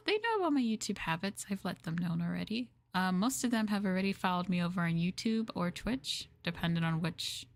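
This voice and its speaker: A woman livestreamer chatting casually with viewers in a gentle, hushed, soft-spoken ASMR style, close to the microphone. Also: medium pitch. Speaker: VTuber livestreamer.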